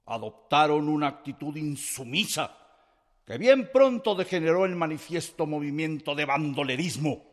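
An actor playing a formal, stern interim president giving a solemn, emphatic, measured political speech in a radio drama. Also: male voice, on the high side. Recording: long echoing hall reverb.

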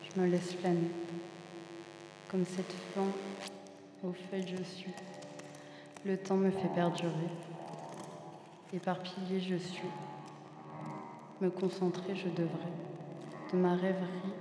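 A young woman giving a measured, deliberate literary reading of introspective prose poetry, pausing between short phrases. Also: lowish voice, level tone, noisy background. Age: young adult. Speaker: student reading co-written literary texts. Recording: long reverb, loud music behind.

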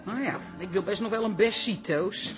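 A man acting a jovial, flustered pub regular in a radio comedy, excitably repeating his words to keep the peace. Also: high-pitched, normal speed, music in the background, natural voice. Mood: appeasing.

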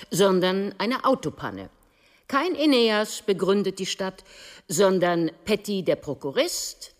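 A woman reading a scripted synopsis as a radio narrator, with dramatic, emphatic and deliberate phrasing. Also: lowish voice.

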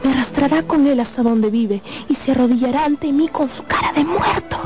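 An actress in a radio drama playing an earnest, pleading young opera singer who is in love and afraid. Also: female voice, sits high, a little fast, lightly acted. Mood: anguished, fearful. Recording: music playing behind the voice. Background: music.

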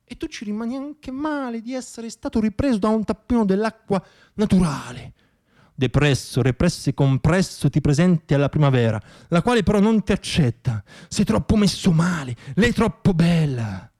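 High-voiced man performing a monologue. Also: animated, normal speed, a little mellow. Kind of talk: theatrical storytelling monologue, radio theatre.

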